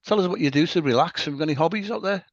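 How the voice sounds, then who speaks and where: Brisk interviewer on a dull dry line. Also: male voice, on the high side, no echo. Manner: brisk, with quick short acknowledgements. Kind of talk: casual interview.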